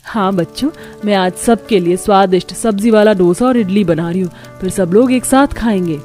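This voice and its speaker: Cartoon young mother played by a woman: caring, cheerful homemaker, medium pitch, music behind. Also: normal speed, mellow, natural voice. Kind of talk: cartoon story character. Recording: music bed under the voice.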